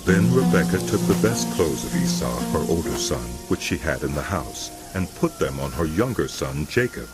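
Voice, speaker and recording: A man's voice: very deep voice, sing-song, normal speed, few pauses. A man narrating scripture as a dramatic, scripted audio production. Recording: loud music behind the voice.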